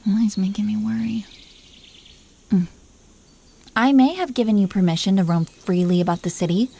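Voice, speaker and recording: Mellow, possessive dragon queen played by a woman. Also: fairly high pitch. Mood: teasing, affectionate. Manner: playful, commanding, mock-sweet. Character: possessive, teasing dragon queen, dominant yet doting wife. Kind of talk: intimate roleplay audio acting.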